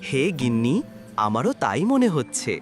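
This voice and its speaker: A man's voice: medium pitch, big pitch swings, unhurried, faint music behind. A man voicing a hopeful, devout, poor village father in a cartoon. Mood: hopeful, proud.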